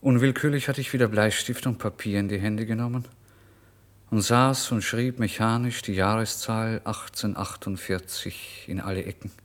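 Radio play lead: male actor as tormented, starving writer, medium-pitched voice, brooding first-person monologue with theatrical intensity. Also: close to an everyday voice.

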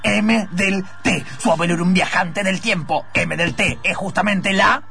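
A man playing an earnest, secretive older professor who speaks formally with dramatic pauses in improvised radio theatre. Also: high-pitched, gravelly, sharp tone, soft background music, full character voice. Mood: astonished, excited.

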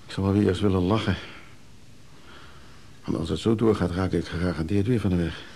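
A man's voice: low-pitched, steady tone, a little fast, vocal fry, mellow, slightly rough, heavily acted. An actor playing a down-and-out man of fifty-eight in a radio drama, weary, stubborn and blunt, muttering with some hesitation. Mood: glum, weary. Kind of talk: naturalistic Dutch radio drama acting.

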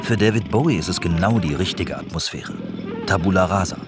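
A man narrating a scripted radio documentary in terse, measured phrases. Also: sits low, animated, very noisy.

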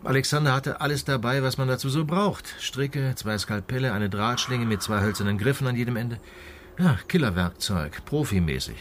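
A man playing a calm, methodical police inspector in a radio crime drama, speaking in a measured, matter-of-fact way. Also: medium pitch, a little hiss or hum, close to an everyday voice. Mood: sober, factual. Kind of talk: naturalistic German radio drama dialogue.

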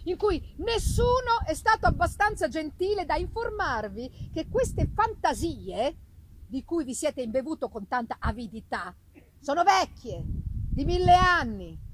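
A woman's voice: sits high, a bit bright, a little hiss or hum, rough recording. A woman voicing a squat, scornful male professor in a gothic novel reading, declaiming with pompous indignation. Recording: live reading with music behind.

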